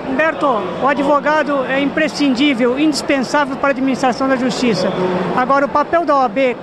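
A middle-aged man, hosting a TV interview at a gala and talking effusively into a handheld mic. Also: extremely high pitch. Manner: effusive, fast talking, flattering. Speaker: TV program host interviewer. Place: large indoor ballroom party. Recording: noisy crowded ballroom. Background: crowd chatter and band noise.

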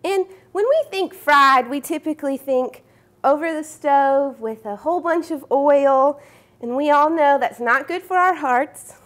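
Cooking show host: young woman, high voice, friendly step-by-step kitchen explaining. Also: measured pace, fairly bright tone. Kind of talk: cooking demonstration. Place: home-style studio kitchen.

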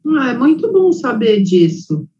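A woman moderating an online panel, speaking in a measured, encouraging way. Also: a little high, sing-song, warm tone. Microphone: video call. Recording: dull lo-fi video call audio.

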